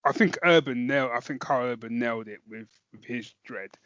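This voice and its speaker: A man's voice: mid-range voice, a little fast, fairly bright tone, no room sound, lo-fi sound. A man co-hosting a podcast, chatting casually about a review and thinking aloud as he goes. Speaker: podcast co-host.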